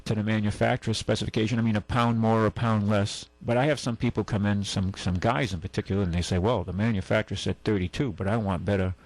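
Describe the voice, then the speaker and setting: A man's voice: on the low side, steady tone, quick pace, few pauses, darkish tone, no echo, rough recording. A man hosting a call-in radio show, giving folksy, rambling car advice and correcting himself as he goes.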